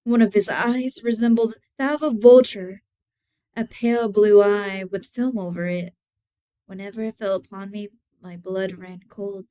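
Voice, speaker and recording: A woman reading a gothic horror tale as its mad, cunning, obsessive murderer, deliberate and theatrical, moving between hushed and emphatic delivery with a smooth voice. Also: medium pitch, fairly even intonation, close to an everyday voice. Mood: obsessed, brooding. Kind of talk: dramatic horror story reading.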